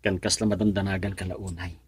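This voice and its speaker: A man's voice: deep voice, little pitch movement, a little fast, husky, dry recording. An actor in an Ilocano radio drama playing an earnest, reassuring young man running away with his sweetheart.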